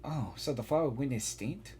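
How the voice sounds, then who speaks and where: A woman's voice: a bass voice, moderate pace, dark tone, slight background noise. A woman giving casual, informal live reaction commentary while playing a video game. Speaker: gaming reaction streamer.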